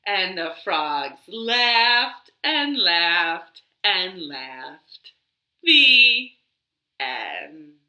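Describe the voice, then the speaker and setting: Very bright, animated woman storyteller. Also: mid-range voice, muffled recording. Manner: expressive, dramatic pauses and drawn-out words. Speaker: library storytime host. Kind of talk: animated children's story read-aloud. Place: living room. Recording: echoey living room.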